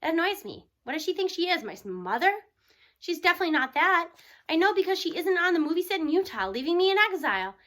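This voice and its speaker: A woman reading a first-person children's novel aloud in an animated, expressive way. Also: extremely high pitch, quick pace. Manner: animated, acting out dialogue. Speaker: audiobook reader.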